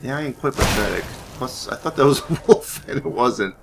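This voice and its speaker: A man's voice: medium pitch, animated, moderate pace, creaky, noisy background. A man giving casual, rambling game commentary, thinking aloud hesitantly as he plays. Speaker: gaming YouTuber narrating his playthrough.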